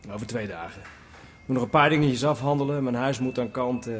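An actor voices a cool-headed smuggling driver in a radio play, who lays out his terms plainly and without hurry. His man's voice sits at a mid pitch, with a faint hiss behind it. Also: fairly quick, lightly acted. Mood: businesslike. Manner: calm, matter-of-fact. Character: calm male smuggler truck driver, businesslike.

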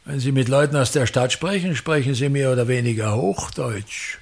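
Gravelly, pompous old theatre tyrant. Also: male voice, medium pitch, normal speed. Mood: condescending, grandiose. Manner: declamatory, grandiose, emphatic repetition. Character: pompous, embittered old touring actor-manager, endlessly ranting. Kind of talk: theatrical radio play monologue.

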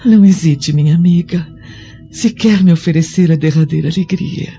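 A woman playing a gentle, devout Roman noblewoman in prison, who speaks slowly and solemnly in a radio drama. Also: fairly low pitch, natural voice. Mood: resolute. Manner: slow, solemn and tender. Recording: dull lo-fi recording with music. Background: soft music score.

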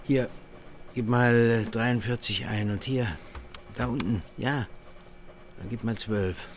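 Casting: older baffled colleague, gravelly low mutter, radio play, keyboard noise behind. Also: male voice, fairly even intonation, slow. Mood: puzzled. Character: older, baffled, grumbling male office colleague. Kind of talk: naturalistic radio play dialogue. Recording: noisy office with keyboard typing.

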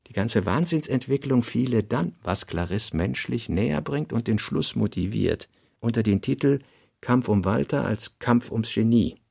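A man reading literary notes and prose for a radio play, in deliberate, clipped phrases with pauses between them. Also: mid-range voice, expressive, creaky, mellow, slightly rough.

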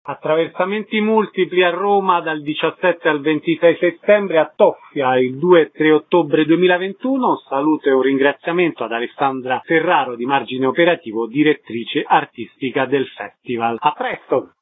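A man's voice: a little high, moderate pace, few pauses, no echo. A man, hosting and interviewing guests on the radio. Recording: slightly dull radio recording.

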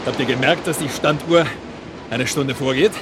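An actor in a German radio drama playing a brazen, cocky blackmailer who was once a hired killer. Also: male voice, mid-range voice, moderate pace, lightly acted. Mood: smug, threatening. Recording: loud surf noise behind. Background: ocean waves on a beach.

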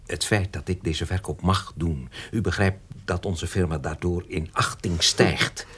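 An actor playing a discreet, obsequious, evasive notary in a radio drama, polite and very formal. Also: male voice, sits low, a little slow, fairly bright tone, slight background noise. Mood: confidential. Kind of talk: Dutch radio drama acting.

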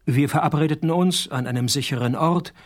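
An actor playing a wry, cynical private detective who narrates a parody noir radio play in a laconic, deadpan way. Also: male voice, a little high, brisk, a little hiss or hum, close to an everyday voice. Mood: deadpan narrating.

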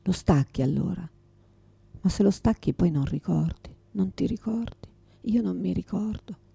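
A woman's voice: on the low side, expressive, measured pace, gravelly, dark tone, breathy edge, no echo, heavily acted. An actress playing a confused, forgetful mother in a radio theatre monologue, exhausted, bitter and pleading, speaking in repetitive fragments. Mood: weary, hushed sorrow. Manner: fragmented, repetitive, swearing, swinging from whispers to outbursts.

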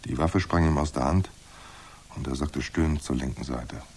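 Hard-boiled crime narrator, extremely low, husky, dry and terse delivery. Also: male voice, moderate pace, a little mellow. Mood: suspenseful. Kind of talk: scripted noir narration in radio drama.

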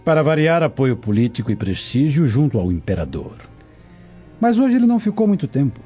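An actor playing a Roman prefect discussing political news in a radio drama. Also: male voice, mid-range voice, big pitch swings, brisk, dark tone, breathy edge. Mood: dry, gossipy. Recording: dull lo-fi audio with music.

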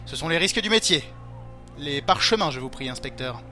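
Pompous police prefect, sharp forceful tone, curt, dismissive, impatient, radio play, faint music. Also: male voice, on the high side, expressive, a little fast. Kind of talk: French audio drama acting.

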